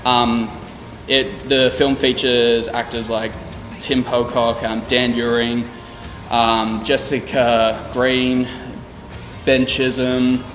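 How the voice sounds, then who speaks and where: A young man hosts a casual interview, talking loosely and circling back with many fillers. His mid-pitched voice rings out in a reverberant room. Music plays underneath. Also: level tone, takes it slowly. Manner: rambling, many fillers and restarts. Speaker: interviewer, channel host.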